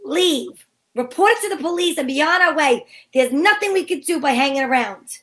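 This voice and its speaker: A woman's voice: sits high, animated, moderate pace, a bit bright. A woman playing a breezy, sensible, take-charge female sleuth in an old-time radio mystery, with a wry manner. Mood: wry, matter-of-fact. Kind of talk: old-time radio mystery acting with first-person narration.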